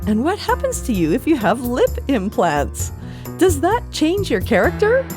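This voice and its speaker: A woman's voice: high-pitched, huge pitch swings, normal speed, over music. A woman presenting a light scripted podcast segment in a wry, playful manner.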